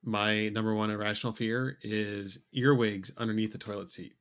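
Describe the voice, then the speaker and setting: A young man co-hosting a podcast, talking in a relaxed, rambling way with many fillers. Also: on the low side, moderate pace. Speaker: podcast co-host. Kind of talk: casual chat.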